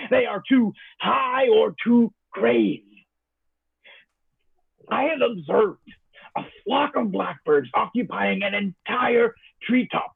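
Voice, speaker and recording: A male actor playing a haunted, sleepless man who fears for his sanity, in a halting, theatrical old-time radio drama monologue. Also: sits high, vocal fry, husky, heavily acted. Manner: theatrical, halting, archaic literary diction. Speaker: actor in a live audio drama. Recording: thin phone-like audio with faint music.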